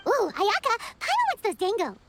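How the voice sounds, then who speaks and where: A woman voicing a tiny, excitable, food-loving fairy companion in a video game, childlike and squeaky, with bubbly delivery. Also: brisk, creaky, very noisy, exaggerated voice. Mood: excited. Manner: bubbly, refers to self in third person.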